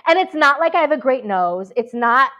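A woman's voice: sits high, expressive, normal speed, pushed and bright. A woman actress chatting as an interview guest, talking freely with many fillers and restarts. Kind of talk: casual interview chat.

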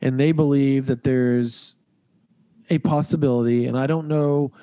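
A man with a cold gives his voice a stuffy, nasal edge as he rambles through sports talk, hedging as he goes. His tone is mellow and dark, and the recording is dry and close. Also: mid-range voice, fairly even intonation, measured pace, clear, resonant tone, no room sound. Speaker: podcast host. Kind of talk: casual sports chat.

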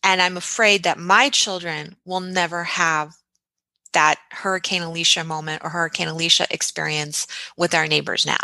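A woman in her forties from the United States, who grew up in Texas, telling lively and reflective stories as a guest in an interview. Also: on the low side, moderate pace, creaky, dry recording, poor audio. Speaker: podcast host, guest. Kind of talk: casual interview storytelling.

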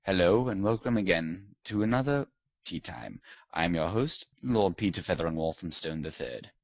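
A man's voice: deep voice, lively intonation, moderate pace, dry recording. A man putting on a pompous British lord. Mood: haughty, mock-posh. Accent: put-on British. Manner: mock-posh, theatrical, teasing. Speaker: host. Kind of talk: comedic podcast interview.

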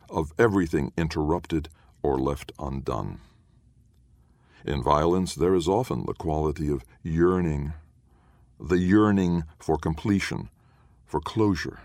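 A man narrates literary fiction in an extremely deep voice. His delivery is measured and solemn, each phrase set down deliberately. The recording is dry, with no room around the voice. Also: a little mellow, no room sound. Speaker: audiobook narrator.

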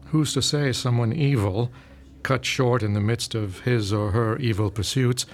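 An actor playing a courteous, academic professor who explains things in a measured way in an audio drama. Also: male voice, medium pitch, faint music behind, lightly acted. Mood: measured, explanatory.

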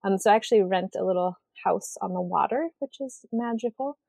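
A woman's voice: medium pitch, brisk, gravelly, mellow, no echo. A woman from Western Washington, a writer and artist, talking casually as an interview guest, with lots of ums and rambling asides. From Western Washington, USA.

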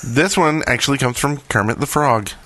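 A man's voice: medium pitch, moderate pace, bright, forceful tone, no echo. A man talking casually as a podcast host. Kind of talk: casual chat.

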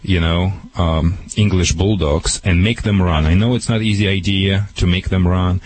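A man's voice: sits low, steady tone, normal speed, a little mellow, breathy edge. A man giving dog training advice to a radio caller, in broken, emphatic English.

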